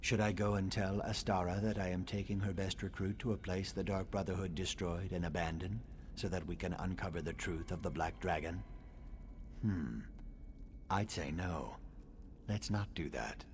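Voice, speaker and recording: Deep, dry, scholarly reptilian assassin. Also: male voice, slight background noise. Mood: dry, irritated. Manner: measured, formal, dryly sardonic. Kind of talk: video game dialogue acting.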